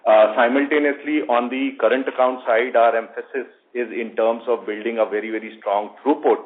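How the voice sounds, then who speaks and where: A male bank executive answering on an earnings call in a formal, wordy, halting way. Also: mid-range voice, steady tone. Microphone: phone conference call. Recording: tinny narrowband phone line.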